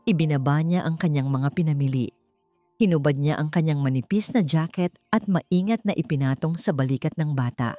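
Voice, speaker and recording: Female narrator for dramatic fiction, low and soft-toned, over faint music. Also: a little fast. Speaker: storyteller. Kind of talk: scripted dramatic story narration.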